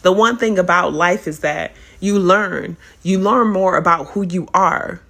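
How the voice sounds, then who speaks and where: A young adult woman hosting a casual solo podcast, her voice a little raspy as it recovers from illness, talking in an animated, playful and profane way. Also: fairly low pitch. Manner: animated, profane, playful, spiritual self-help talk. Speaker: podcast host.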